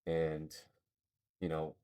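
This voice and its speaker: A man's voice: rumbling low, completely flat delivery, drawn-out words, warm tone. A man co-hosting a casual podcast chat, thinking aloud and rambling as he searches for his words. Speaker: podcast co-host.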